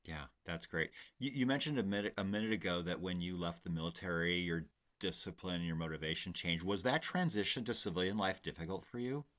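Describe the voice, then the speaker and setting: Deep-voiced male radio host. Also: a little fast, a bit bright. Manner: smooth announcer-trained delivery; enthusiastic, affirming. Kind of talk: radio interview.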